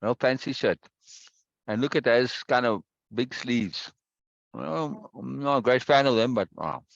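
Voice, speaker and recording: A man hosting a casual video-call chat, talkative and quick to repeat himself and laugh. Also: medium pitch, vocal fry, no echo, muffled recording. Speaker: meeting host.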